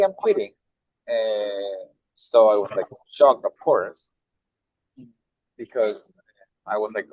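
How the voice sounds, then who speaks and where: A man from Mexico with a Mexican Spanish accent, a nonprofit director talking in a roundtable, halting with many fillers and restarts, heard over a video call. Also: on the low side, dry recording, dull audio. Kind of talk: roundtable discussion.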